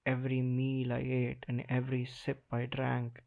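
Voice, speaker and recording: A man performing a slam poem in the first person, dramatic and deliberate, with weighty pauses between short phrases. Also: mid-range voice, monotone, gravelly, phone-quality sound.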